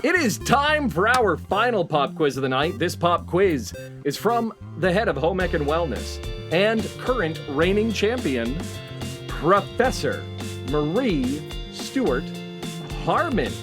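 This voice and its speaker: Male game show host, higher-pitched, slow theatrical announcing, comedic quiz. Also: very animated. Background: plucked guitar music.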